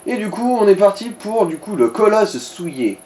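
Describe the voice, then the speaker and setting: A man's voice: high-pitched, very animated, moderate pace, darkish tone. A man chatting casually as co-commentator on a video game, advising the player in slangy, mildly crude language. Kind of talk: casual gaming chat.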